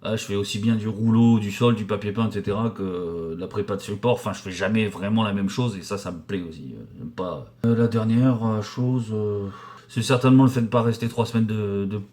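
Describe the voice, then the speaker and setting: A man's voice: fairly low pitch, brisk, darkish tone. Joking French man in his forties. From Paris region, France. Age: 40s. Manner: joking, crude, rambling with many fillers. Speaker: painter and decorator answering viewer questions. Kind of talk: casual vulgar chat.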